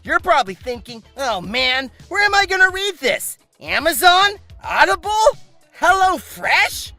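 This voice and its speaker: A man's voice: extremely high pitch, big pitch swings, unhurried, bright, forceful tone, no echo, faint music behind. A man hosting a scripted comedic review, narrating theatrically with exaggerated emphasis. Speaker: host.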